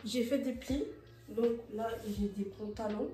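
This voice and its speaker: A woman's voice: on the high side, fairly even intonation, a little slow, warm tone. A woman vlogging casually while she tidies her bedroom. She speaks French as her second language, chats with many fillers and restarts, and slips in English phrases. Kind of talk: casual chat while tidying. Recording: roomy and distant, with faint music.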